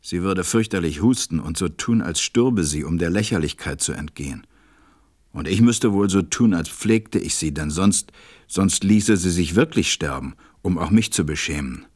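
A man narrating a fairy tale as a measured, reflective storyteller in a radio play. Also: deep voice, animated, a little mellow. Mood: wistful.

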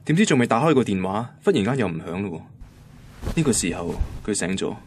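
An actor playing an earnest, devoted young boyfriend in a Hong Kong Cantonese radio drama, speaking colloquially and sounding quietly vulnerable. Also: male voice, fairly low pitch, big pitch swings, a little fast, slight background noise. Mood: wistful, hurt. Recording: music bed under the voice.

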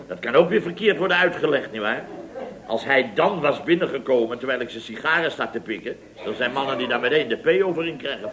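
A man's voice: mid-range voice, natural voice. An actor playing a flustered, indignant, chatty man in a radio comedy, rambling and repeating himself as he tells his story. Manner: rambling, repetitive storytelling with stammers and rhetorical tags. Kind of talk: radio comedy acting in a dialogue sketch. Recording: radio recording with audience behind.

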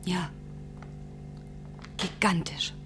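A woman's voice: very slow, background noise. A woman playing the headstrong young daughter of a starship commander in a science-fiction radio drama, earnest and defiant. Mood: awed. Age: young adult.

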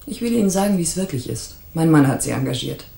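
A woman's voice: low-pitched, very animated, fast talker, gravelly, dark tone, roomy sound, exaggerated voice. An actress playing a haughty, guarded married woman in trouble in a crime radio play, clipped and imperious. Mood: scornful. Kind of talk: hardboiled crime radio drama.

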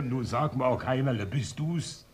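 An actor playing a jovial, mocking tavern regular with a Silesian accent, teasing and exclaiming in a German radio play. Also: male voice, mid-range voice, lively intonation, a little slow, crowd noise, natural voice. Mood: gleeful.

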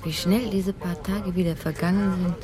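A commissioner's wife, played by an actress in a radio crime drama. She has a slightly low voice and talks in a familiar, admonishing way, caring but bossy. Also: steady tone, moderate pace, warm tone, natural voice. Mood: attentive. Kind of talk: German radio drama dialogue.

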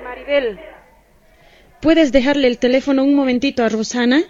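A woman with a high voice conducts a radio phone interview in a conversational way. The recording sounds somewhat muffled and dull. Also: fairly even intonation, moderate pace, darkish tone, a little hiss or hum.

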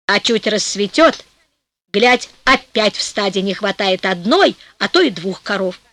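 A woman narrating a fairy tale in a lively storytelling manner for an audiobook, slowing down for rhymed passages. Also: mid-range voice, no room sound.

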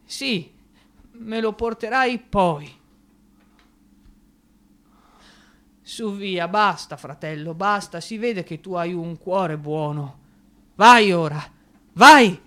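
A man's voice: high-pitched, animated, slow, fairly bright tone, exaggerated voice. A man acting in an Italian radio drama as an anguished young clerk losing his mind, pleading and blaming himself. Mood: desperate farewell. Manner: anguished, pleading, emotionally overwrought.

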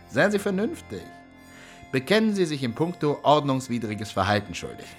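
An actor playing a genial but cynical older district attorney in a German radio drama, jovial and coaxing with a threat underneath. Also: male voice, mid-range voice, very animated, normal speed, soft background music. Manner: jovial, coaxing, tag questions, veiled threats.

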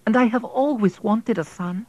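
A man reading an audiobook, voicing a tender-hearted middle-aged peasant wife who pleads anxiously in a raised, higher voice. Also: moderate pace, a little mellow, no echo, faint music behind. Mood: pleading.

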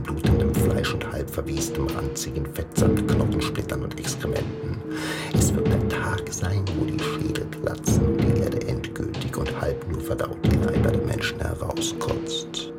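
A man performing a grave poet narrator in a radio drama, declaiming an ominous journey through hell. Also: rumbling low. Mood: apocalyptic, ominous. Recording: loud music over the voice. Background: loud music.

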